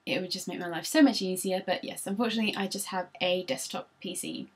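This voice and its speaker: A woman's voice: lowish voice, steady tone, fast talker, breathy edge. A woman, chatting casually through tag questions on her vlog with frequent ums, recorded on a laptop. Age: 20s. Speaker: vlogger.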